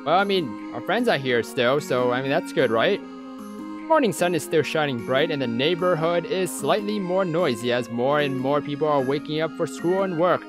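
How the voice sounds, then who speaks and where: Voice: mid-range voice, animated, normal speed. Musing game narrator over music. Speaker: let's play host. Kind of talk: visual novel read-aloud with casual commentary.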